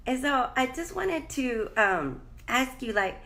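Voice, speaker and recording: A woman's voice: sits high, very animated, takes it slowly, bright, forceful tone. Chatty, congested woman life coach. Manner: chatty, rambling, greets viewers by name. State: congested, slight cough. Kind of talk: casual live-stream chat. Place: hotel room.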